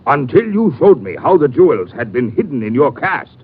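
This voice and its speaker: An actor playing a ship's captain who apologizes haltingly, in a 1950s radio drama with a tinny, hissy sound. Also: male voice, mid-range voice, very animated, husky. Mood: apologetic. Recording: tinny old radio transcription with faint hiss.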